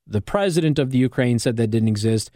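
A man's voice: mid-range voice, animated, brisk, dark tone, no echo. American male talk radio host, dry studio sound. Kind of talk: opinionated talk radio monologue.

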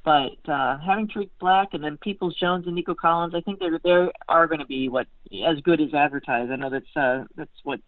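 An American woman talking sports as a guest analyst in a halting, conversational way, often repeating and rephrasing her words. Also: sits low, no room sound. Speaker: sports beat writer, podcast guest. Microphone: phone call. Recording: tinny narrowband phone line.

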